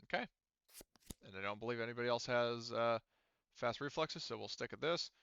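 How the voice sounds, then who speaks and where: Man, game master, casual and profane, crisp forceful voice, mutters to himself, slightly dull close recording. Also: medium pitch, brisk. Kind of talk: running a tabletop roleplaying game online.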